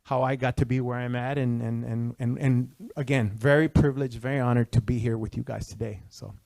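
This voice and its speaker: A man's voice: mid-range voice, fairly even intonation, brisk, gravelly, darkish tone, dry recording. A man from Texas in his fifties, a retired Secret Service official speaking on a panel with a handheld mic, conversational and full of restarts. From El Paso, Texas. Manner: conversational, many restarts and fillers. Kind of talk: panel discussion, personal career story. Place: auditorium stage before an audience. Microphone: handheld microphone.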